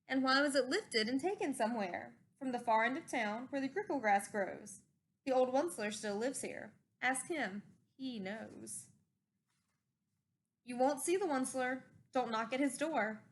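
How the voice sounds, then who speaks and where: A woman with a high-pitched voice reads a children's picture book aloud. Her delivery is expressive storytelling that follows the rhyme of the verse. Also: a little hiss or hum. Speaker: storybook reader.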